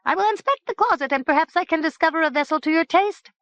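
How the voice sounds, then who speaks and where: A woman voicing a small, eager, fawning male servant in a storybook reading, quick and servile. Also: very high-pitched, lively intonation, fairly bright tone, dry recording, voice pushed up. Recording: dull dry lo-fi recording.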